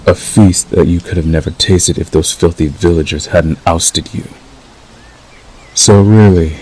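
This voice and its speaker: Man acting out a very deep, growling werewolf lover. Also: a little mellow, heavily acted. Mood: possessive coaxing. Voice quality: gravelly, growling. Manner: slow, deliberate, coaxing yet threatening. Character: possessive, menacing male werewolf lover, seductive predator. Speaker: audio roleplay voice actor. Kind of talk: ASMR roleplay acting. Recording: faint background noise.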